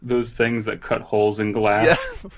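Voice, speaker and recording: A man's voice: fairly low pitch, wildly swooping pitch, a little slow. A man co-hosting a podcast, chatting casually and reading stories aloud, with crude, profane humor. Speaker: podcast co-host.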